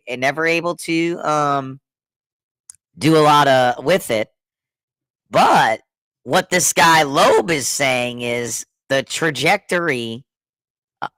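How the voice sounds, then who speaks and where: A man's voice: a little high, sharp tone, no echo. A middle-aged man with a scratchy throat from being sick, chatting loosely on a podcast, rambling and restarting his sentences. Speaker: podcast co-host. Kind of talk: casual chat.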